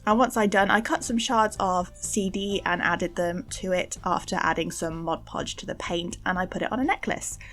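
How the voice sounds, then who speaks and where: A young woman giving a casual, chatty craft voice-over for an art channel, informal and gently self-deprecating. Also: lowish voice, normal speed, hardly any pauses. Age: young adult. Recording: music behind the voice. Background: faint background music.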